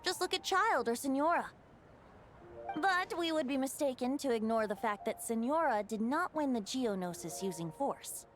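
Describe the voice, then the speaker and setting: A woman's voice: sits high, lively intonation, moderate pace, faint music behind. A woman voicing a carefree young bard deity in a video game, with a playful, wry, theatrical delivery. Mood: wry teasing. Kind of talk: scripted video game voice acting.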